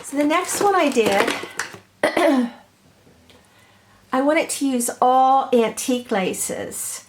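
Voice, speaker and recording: Slightly hoarse woman, higher pitch, very unhurried meandering craft-tutorial delivery. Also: expressive, background noise. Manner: gentle, meandering, many ums and uhs. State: has a cold, a little hoarse. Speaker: crafter showing her ornaments. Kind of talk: slow, chatty craft tutorial.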